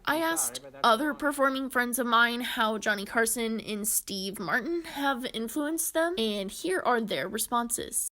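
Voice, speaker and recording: A woman in her twenties with a fairly high voice presents a scripted essay. She speaks as a narrator guiding viewers through her material. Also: lively intonation, unhurried, few pauses, noisy background. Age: 20s.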